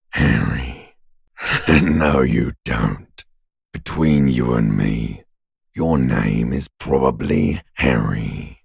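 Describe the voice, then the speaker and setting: Abyssally deep, gravelly taunting corpse. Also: male voice, a little mellow, dry recording, clean studio sound, full character voice. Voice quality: gravelly, raspy growl. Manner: drawling, mockingly intimate, crude. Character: male ancient reptilian brain and talking corpse, taunting, morbid. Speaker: voice actor in a video game. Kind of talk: video game character voice acting.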